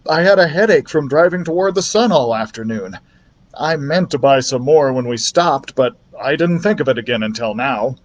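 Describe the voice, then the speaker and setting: A man's voice: mid-range voice, moderate pace, lo-fi sound, natural voice. A man voicing an exasperated, practical father in a children's storybook reading. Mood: matter-of-fact.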